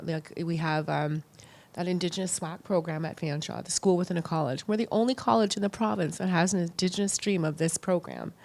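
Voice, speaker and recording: A middle-aged woman with a slightly low voice speaks as an interview guest. Her delivery is earnest and casual, often looping back with little filler phrases. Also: a little mellow. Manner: earnest, repeats "you know". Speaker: podcast guest, college staff member. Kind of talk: casual conversational interview answers.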